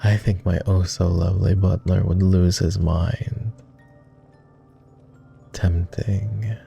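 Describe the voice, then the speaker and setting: A man playing a flirty, courtly vampire aristocrat in an intimate roleplay ASMR, with refined, formal, teasing speech in a low, gravelly murmur. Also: level tone, slow pace, warm tone, faint music behind, full character voice. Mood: playful, teasing. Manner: refined, formal diction with playful teasing and sighs.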